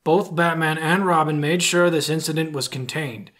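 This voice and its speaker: Casting: male actor as firm, defensive police commissioner in an audio drama, slightly high voice. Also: fairly even intonation, moderate pace, fairly bright tone, natural voice. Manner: firm, protesting. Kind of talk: fan-made audio drama acting.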